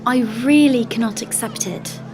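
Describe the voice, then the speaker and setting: A young maiden with a high, earnest voice, played by a woman storyteller. She is guarded and wistful yet teasing, like a storybook heroine, with music behind her. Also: moderate pace, close to an everyday voice. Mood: reluctant. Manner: coy, earnest, wary. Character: fair young pirate-tale lass, guarded, wistful, teasing.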